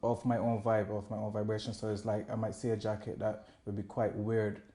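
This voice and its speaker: A man's voice: fairly low pitch, completely flat delivery, a little fast, warm tone. A man with a Bajan accent giving a casual interview as a musician, informal and often rephrasing himself. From Barbados. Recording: roomy, echoing interview room.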